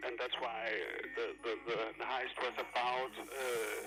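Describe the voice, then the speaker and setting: Man, medium pitch, improvised radio talk, repetitive searching delivery, very prominent music. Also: level tone, measured pace, low-quality recording. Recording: loud music over the voice. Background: loud music.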